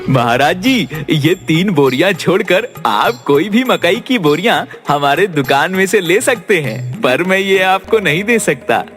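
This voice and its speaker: A man playing a greedy, gullible older merchant in a Hindi moral story, haggling in an excitable way. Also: sits high, unhurried, few pauses, faint music behind. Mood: guarded.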